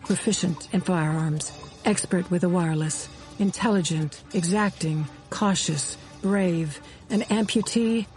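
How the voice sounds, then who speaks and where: An actress playing a wry intelligence officer, narrating her memories in measured, dryly ironic radio drama style. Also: lowish voice, a little mellow, lightly acted, poor audio. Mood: measured narration. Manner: measured, dry irony. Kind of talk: scripted first-person radio drama narration. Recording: music bed under the voice. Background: music.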